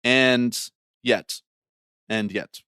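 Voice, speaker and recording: A man's voice: mid-range voice, steady tone, drawn-out words, sharp tone, smooth, no room sound, studio quality. A man co-hosting a casual sports podcast, telling stories in a wry, opinionated way. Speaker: podcast co-host.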